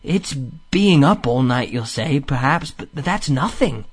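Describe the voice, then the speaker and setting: A man as a weary, gentle storybook mole. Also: mid-range voice, expressive, unhurried, slightly rough. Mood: weary, puzzled. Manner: drowsy, wondering, plaintive.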